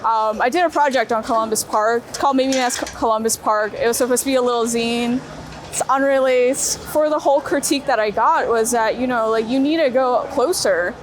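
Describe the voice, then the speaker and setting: A woman's voice: fairly high pitch, brisk. A young woman from Brooklyn, New York, talking casually in informal, slangy speech peppered with "like" and "you know". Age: young adult. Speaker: street photographer being interviewed. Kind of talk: casual chat while walking. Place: outdoors on busy city streets. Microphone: wireless clip-on mic. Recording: noisy city street with traffic.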